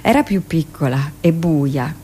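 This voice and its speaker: Matter-of-fact midwife. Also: female voice, low voice, lively intonation, a little slow, dark tone, a little hiss or hum. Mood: matter-of-fact recollection. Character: midwife recounting harsh hospital deliveries, matter-of-fact, hardened. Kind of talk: theatrical radio monologue, narrated recollection.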